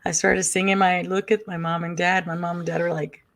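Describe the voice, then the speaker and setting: Low, gravelly soft-spoken woman. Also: level tone, fairly quick, a little hiss or hum. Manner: soft-spoken, hesitant with many ums. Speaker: guest. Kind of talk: casual storytelling chat.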